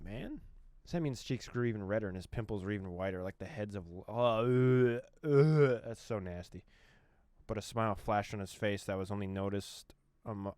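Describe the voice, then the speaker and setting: A man's voice: lowish voice, darkish tone, dry recording. A man hosting a let's play, reading a visual novel aloud with playful asides and dramatic reading.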